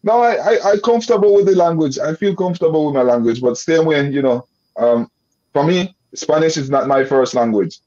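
A man's voice: a little high, animated, fairly quick, dark tone. A young man from Nicaragua with a Creole English accent, talking loosely on a video call and restarting his sentences often. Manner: loose rambling with many restarts and "you know" fillers. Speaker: guest. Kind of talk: casual video-call interview. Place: indoor room. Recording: dull lo-fi video call audio.

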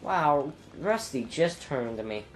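A young man with a stuffy nose and a clogged throat, commenting casually on his own racing game and thinking aloud with ums and sudden loud exclamations. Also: on the high side, animated, takes it slowly, soft background music. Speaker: gameplay commentator. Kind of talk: casual let's-play commentary.

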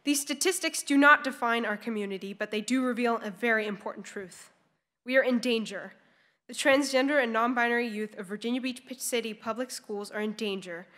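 A woman's voice: on the high side, a bit bright. A young woman reading a prepared speech at a podium microphone in a large auditorium. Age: teens or young adult. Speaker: public speaker at a school board meeting. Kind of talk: prepared speech read aloud. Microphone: gooseneck podium microphone. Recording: long hall reverb.

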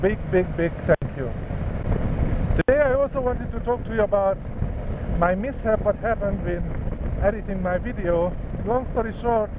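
A man motovlogging while riding through town, chatting gratefully to his viewers; he speaks English as a Hungarian native. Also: high voice. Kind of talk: casual vlog talk while riding. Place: outdoors on a motorcycle in city traffic, strong wind. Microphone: helmet camera mic. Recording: windy, lo-fi phone-like sound.

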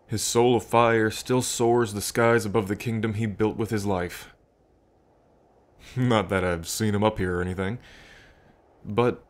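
A man playing a fond, reflective dragon king in an intimate ASMR roleplay, musing aloud to the listener with pauses. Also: lowish voice. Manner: unhurried, musing, pausing, softly chuckling between thoughts. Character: adult male dragon king, fond, reflective, proud of ancestors.

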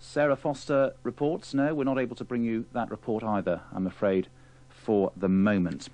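A British man with a fairly low voice presents the news live on radio. He is formal and polite, and turns hesitant and apologetic as he fills in. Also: fairly even intonation, moderate pace, darkish tone. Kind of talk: live news presenting, ad-libbing through failed links.

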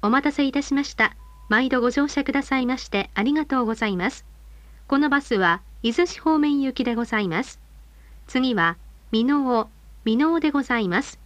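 Woman, high voice, bus announcer, formal polite delivery, measured phrasing, dry close sound. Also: animated, no room sound. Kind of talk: scripted bus stop announcements.

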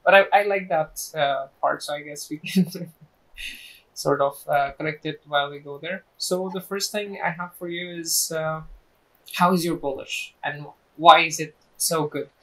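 Young man hosting a podcast, bright tone. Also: on the high side, fairly even intonation, rough recording. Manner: friendly, measured questioning with fillers. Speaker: podcast host. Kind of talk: casual podcast interview. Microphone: lapel mic.

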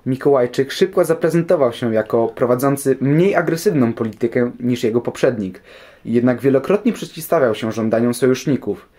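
A man's voice: mid-range voice, brisk, mellow. A man giving scripted documentary narration, answering questions about history.

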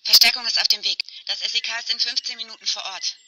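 An actress playing a brisk police dispatcher over the radio in an audio drama. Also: female voice, fairly high pitch, steady tone. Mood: brisk, official. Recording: thin phone-like radio, background chatter, birdsong.

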